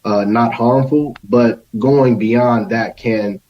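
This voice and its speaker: Young guy on a panel, earnest casual talk, average pitch, kinda dull lo-fi audio. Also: fairly even intonation, slow. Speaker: roundtable guest. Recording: slightly dull, lo-fi sound.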